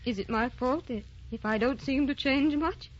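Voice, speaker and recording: An actress playing a gentle, youthful wife with an earnest, anxious manner in an old radio drama. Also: female voice, sits high, moderate pace. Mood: hurt, anxious. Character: gentle young-looking wife, anxious, secretive about her youth. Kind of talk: 1940s radio drama acting. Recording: dull vintage radio recording.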